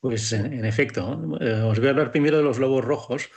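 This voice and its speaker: A man with a medium-pitched voice presents science news on a panel. He explains things informally, step by step, and repeats key points for emphasis. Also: fairly quick, vocal fry.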